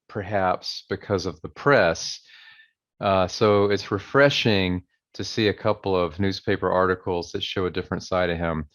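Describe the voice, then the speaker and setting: A man with a fairly low voice hosts a casual video, reading and discussing old newspaper clippings. He talks in an easygoing way and slows down to stress the quoted lines. The audio is dry and a little dull, like a basic home setup. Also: no room sound. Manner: reads quoted passages slowly and emphatically; relaxed, conversational, with fillers. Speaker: channel host.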